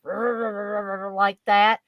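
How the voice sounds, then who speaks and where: A woman's voice: mid-range voice, little pitch movement, very slow, no echo, dull audio. A woman with a Kentucky accent, telling a story as a podcast guest in an earnest, effusive way, often repeating herself. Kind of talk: casual storytelling chat.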